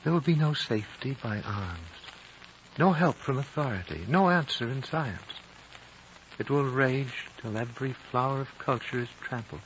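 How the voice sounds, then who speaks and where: An actor playing an ancient, wise and dying high lama in a 1950s radio drama, solemn, fatherly and measured. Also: lightly acted. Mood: grave, prophetic. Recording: dull, noisy old radio recording.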